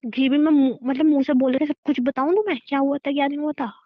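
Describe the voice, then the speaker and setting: A woman's voice: high voice, fast talker, natural voice. A young woman playing an exasperated, scolding girlfriend in a staged phone-call argument, insistent and repeating herself, on a dull call recording. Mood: frustrated.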